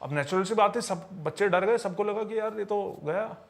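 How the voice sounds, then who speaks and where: A man's voice: high-pitched, moderate pace, creaky. A man telling paranormal tales in a conversational podcast style, often repeating and rephrasing phrases for emphasis. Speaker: podcast storyteller.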